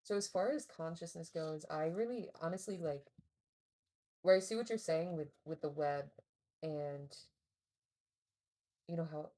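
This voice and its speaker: A woman co-hosting a casual podcast chat, sharing thoughtful opinions in a relaxed, hedging way. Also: low voice, a little slow, pauses often. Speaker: podcast co-host.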